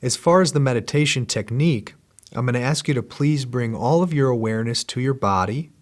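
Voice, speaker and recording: A middle-aged man guiding a meditation class with slow, gentle, repetitive instructions. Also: medium pitch. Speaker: meditation teacher.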